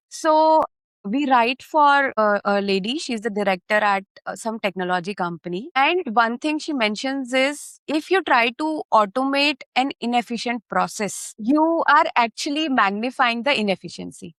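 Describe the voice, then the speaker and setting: A woman's voice: fairly high pitch, a bit bright, dry recording. A woman guest on a podcast who explains her work through anecdotes and often checks in with her listener. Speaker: podcast guest, content marketer. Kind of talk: podcast interview.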